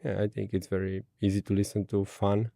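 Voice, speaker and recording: A man with a Romanian accent speaks in a low, mellow voice. He gives brief, measured technical answers in an interview, recorded dry and close. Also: completely flat delivery, gravelly, no room sound. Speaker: guest.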